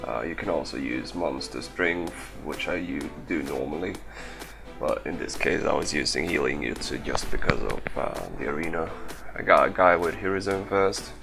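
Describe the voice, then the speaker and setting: A man's voice: rumbling low, moderate pace, creaky, over music. A man giving casual, offhand commentary over gameplay as a gaming video creator.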